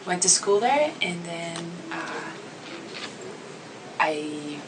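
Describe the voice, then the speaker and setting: A woman's voice: lowish voice, lively intonation, drawn-out words. A woman in her thirties from the Netherlands, speaking English, introduces herself to a class in an easygoing, chatty way. She sits a little away from the microphone in a classroom, with a faint hum of background noise. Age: 30s. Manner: easygoing and chatty, with many fillers and frequent laughter. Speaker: international student coordinator. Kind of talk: casual self-introduction to students. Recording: slightly distant mic with faint background noise.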